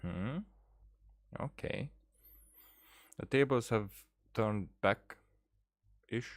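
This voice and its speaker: A man's voice: on the low side, drawn-out words. A man giving casual let's play commentary, thinking aloud in short muttered fragments. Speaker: gaming streamer. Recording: game music behind.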